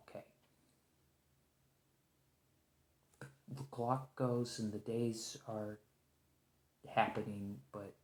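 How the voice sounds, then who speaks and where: A man acting a stressed, weary captive special agent in an ASMR roleplay, rambling, halting and apologetic as he pleads, in a roomy recording. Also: fairly low pitch, darkish tone, close to an everyday voice. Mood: flustered, confused. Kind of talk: ASMR roleplay monologue, improvised conversational acting.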